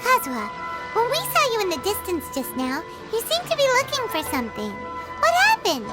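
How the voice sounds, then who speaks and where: Tiny squeaky, wildly animated fairy companion. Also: female voice, fairly quick, heavily acted. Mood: curious. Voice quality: squeaky, childlike. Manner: perky, speaks of self in third person. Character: tiny excitable childlike floating fairy companion, female. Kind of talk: video game character acting. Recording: loud music behind the voice.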